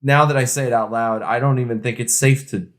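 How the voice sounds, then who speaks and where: A man's voice: mid-range voice, steady tone, a little fast, over a noisy crowd. A man co-hosting a podcast in casual chat, informal and jokey with exclamatory phrasing. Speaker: podcast co-host. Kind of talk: casual chat about beer.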